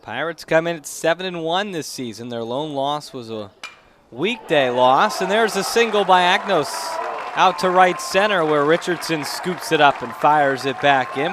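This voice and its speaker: Young male baseball announcer, higher-set voice, live play-by-play with faint outdoor noise behind. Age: young adult. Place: outdoor ballpark during a live game. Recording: noisy outdoor ballpark ambience.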